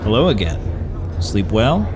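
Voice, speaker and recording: A man's voice: fairly low pitch, very animated, unhurried, background noise. An actor playing a polite, friendly, easygoing male traveler in an audio drama. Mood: friendly curiosity.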